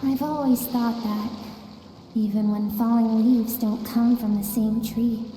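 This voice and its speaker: Slow, gentle spirit woman in echoing space, acted. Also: a little high, fairly even intonation, a little hiss or hum, close to an everyday voice. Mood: solemn, inviting. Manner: soft, unhurried, musing. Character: gentle, cryptic female spirit guide dwelling in an inner world. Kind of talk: video game story voice acting. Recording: reverberant game audio with music.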